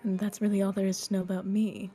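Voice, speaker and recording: Woman as a mellow, composed noble lady. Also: mid-range voice, level tone, fast talker, faint music behind, lightly acted. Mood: composed, guarded. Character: composed, reserved noble lady at vampire soiree. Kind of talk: Minecraft roleplay acting.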